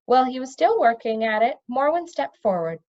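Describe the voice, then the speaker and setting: Female storybook narrator, expressive, slightly high voice with wide intonation, on a slightly muffled recording. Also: brisk. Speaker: storybook reader. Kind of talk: expressive read-aloud narration of children's fantasy.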